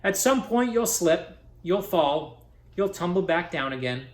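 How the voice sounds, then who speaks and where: A man's voice: sits high, lively intonation, measured pace, reverberant. A man reading aloud as a determined young girl who is deaf and blind, in an earnest, uplifting way. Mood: encouraging.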